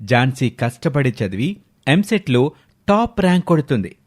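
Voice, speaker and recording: A man's voice: medium pitch, sing-song, moderate pace. A man narrating a scripted moral story.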